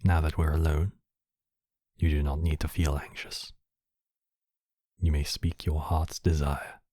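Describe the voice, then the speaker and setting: A man's voice: rumbling low, little pitch movement, measured pace, warm tone, breathy edge, dry recording, clean studio sound, exaggerated voice. A man playing a commanding yet compassionate demon king, speaking in formal, regal phrases in an intimate ASMR roleplay. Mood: gentle, courteous.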